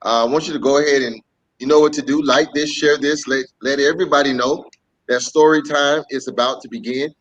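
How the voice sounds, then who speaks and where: A man's voice: medium pitch, steady tone, fairly quick. A man casually hosting a livestreamed children's story time, greeting viewers and repeating himself as he goes. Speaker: host, pastor. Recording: dull lo-fi webcam stream.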